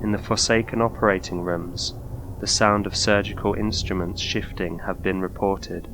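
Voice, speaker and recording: A man narrating a scripted ghost story in documentary style, with measured, formal delivery. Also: on the low side, fairly even intonation, music in the background, poor audio.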